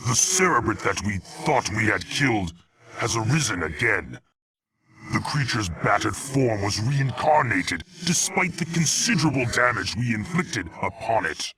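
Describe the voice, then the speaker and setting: Veteran alien warrior, noble and martial, grand animated delivery over clear background noise. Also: male voice, fairly low pitch, measured pace. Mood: grave. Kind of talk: theatrical video game dialogue.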